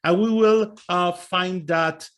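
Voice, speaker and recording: A man's voice: high voice, slow pace, a bit bright, clean tone. An Argentine man, a native Spanish speaker and cantor, teaching informally over a video call and searching for his words in English with an Argentine Spanish accent. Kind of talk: informal lecture on a video session.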